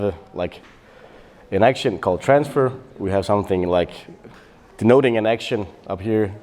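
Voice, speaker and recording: A middle-aged man from Bosnia giving a technical conference talk on developer tooling, relaxed and explanatory, with frequent fillers and self-corrections. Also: on the low side. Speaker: software developer presenting.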